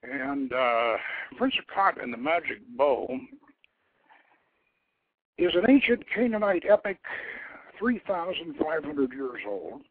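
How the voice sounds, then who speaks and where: A man hosting a radio show, speaking in halting, deliberate phrases with a husky voice, as storyteller and presenter. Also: fairly high pitch, very animated, no echo. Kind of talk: informal show introduction and dramatic story reading. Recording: tinny phone-line radio sound.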